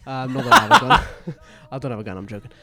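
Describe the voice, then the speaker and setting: A man hosting a casual band podcast, chatty and quick to joke and tease. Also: mid-range voice, huge pitch swings, very noisy. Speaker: podcast host and band member. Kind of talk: casual chat.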